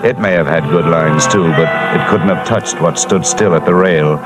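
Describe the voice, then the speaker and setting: An actor playing a wry, hard-boiled insurance investigator who narrates and trades dry wisecracks in a 1950s radio drama. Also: male voice, low voice, normal speed, loud music behind the voice, natural voice. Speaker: narrator and lead. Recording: hissy old radio broadcast.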